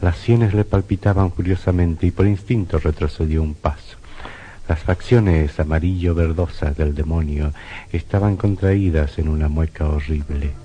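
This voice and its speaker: A man's voice: rumbling low, fairly even intonation, normal speed, warm tone. A man narrating a scripted horror radio drama in a solemn, dramatic style. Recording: dull lo-fi radio recording. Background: faint music.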